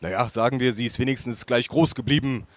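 A man's voice: medium pitch, quick pace, no echo, close to an everyday voice. A man playing a grumpy, sarcastic grown son in a story reading, dry and indignant.

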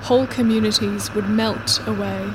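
A woman reading scripted narration for a radio play in a measured, deliberate way. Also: medium pitch, steady tone, noisy background.

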